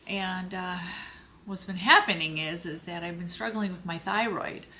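A hoarse older woman speaking slowly and haltingly to the camera from her home office, telling a personal health story to her viewers. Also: fairly low pitch, sharp tone, slight background noise. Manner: slow, halting, searching for words. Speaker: lifestyle vlogger.

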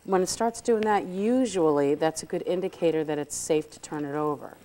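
A woman's voice: low voice, fairly quick, warm tone. A middle-aged woman giving a casual, chatty cooking demonstration on a studio kitchen set. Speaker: cook demonstrating on a cooking show. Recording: clearly noisy TV studio recording.

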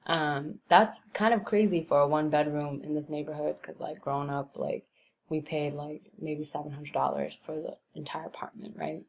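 A young woman from Brooklyn, New York, narrating a screen-recorded tour of her neighborhood in a relaxed, rambling way with many ums and likes. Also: low voice, moderate pace, slight background noise.

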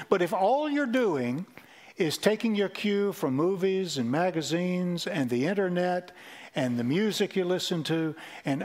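Man, 60s, senior pastor, earnest sermon voice on a headset mic, a touch high-pitched. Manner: earnest preaching with wide gestures and repeated phrases. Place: church stage with a lectern.

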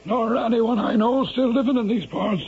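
An actor in a 1970s radio drama playing an evasive, folksy Irish country innkeeper. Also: male voice, extremely high pitch, moderate pace. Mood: cagey. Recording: muffled vintage radio broadcast.

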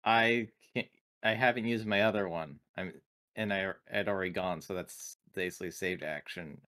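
A man with a low voice speaks as a tabletop game player. His delivery is casual and conversational. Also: moderate pace, pushed and bright.

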